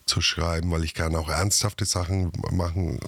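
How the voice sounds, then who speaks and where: A man's voice: low voice, level tone, moderate pace. A man, a musician and cartoonist talking hesitantly in a casual podcast conversation, full of fillers and self-doubt. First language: German. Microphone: podcast microphone.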